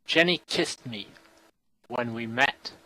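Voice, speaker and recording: Guy reading poems really really slowly, bright sharp voice, big pauses between bits. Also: medium pitch, no echo, poor audio. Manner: deliberate, broken into short phrases with long pauses. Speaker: poetry performer. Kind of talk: poetry reading.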